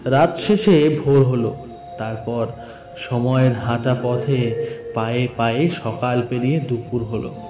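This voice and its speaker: Slow, theatrical man narrating, echoey. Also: mid-range voice. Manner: theatrical, deliberate, with drawn-out pauses. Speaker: audio story narrator. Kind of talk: dramatic first-person literary story narration. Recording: echoey voice with music bed. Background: background music.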